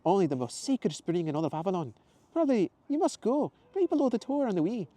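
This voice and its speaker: A man voicing a bubbly, eccentric woman shopkeeper in her thirties, loud and gushing. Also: high voice, very animated, fast talker, no room sound, voice pushed up.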